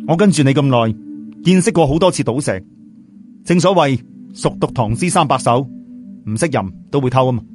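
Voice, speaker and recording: A man's voice: medium pitch, lively intonation, brisk, pauses often, a little mellow, soft background music, close to an everyday voice. A man voicing a cocky, boastful male sidekick in a story, talkative and argumentative, with a Cantonese accent. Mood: indignant.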